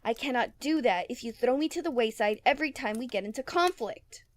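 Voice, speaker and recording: A woman's voice: on the high side, animated, a little fast, natural voice. A woman playing a proud, outspoken male general in a fantasy audio roleplay, speaking formally with indignant challenge.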